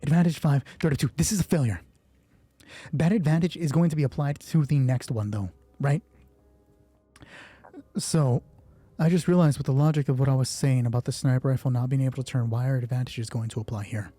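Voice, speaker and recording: A man's voice: mid-range voice, a little fast, dark tone. A man game mastering a solo roleplaying game, thinking aloud through dice rolls in casual, sweary commentary.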